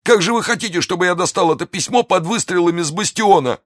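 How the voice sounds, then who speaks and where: Shrill, begging wounded soldier. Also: male voice, fast talker, no room sound, studio quality. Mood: pleading, terrified. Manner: desperate pleading, high strained cries. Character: wounded terrified male soldier, cowardly and pleading. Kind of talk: audiobook character voice.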